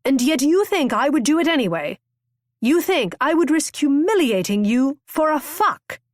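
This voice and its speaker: A woman voicing a proud young gentleman who speaks in clipped, formal sentences full of cold reproach. The voice sits at a middling pitch, and the recording is dry and close. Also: animated, moderate pace, no room sound, close to an everyday voice. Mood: indignant.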